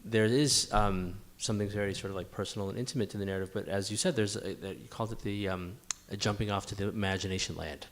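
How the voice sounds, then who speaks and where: A man's voice: on the low side, fairly even intonation, fast, few pauses. A man moderating an informal academic discussion in a tentative, roundabout way, often saying "sort of". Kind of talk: informal question-and-answer moderating.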